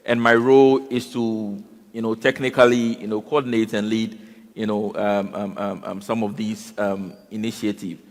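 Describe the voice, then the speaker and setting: Halting man on a panel. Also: fairly low pitch, little pitch movement. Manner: halting, repeats words and fills with "you know" and "um". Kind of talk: panel discussion. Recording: long hall reverb.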